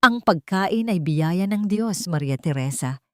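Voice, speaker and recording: A woman's voice: lowish voice, lively intonation, a little slow, a bit bright, no echo, clean studio sound. A woman voicing a pious, scheming elderly matriarch in an audiobook drama, silky and condescending. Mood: falsely sweet. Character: falsely sweet, pious, scheming rich old matriarch.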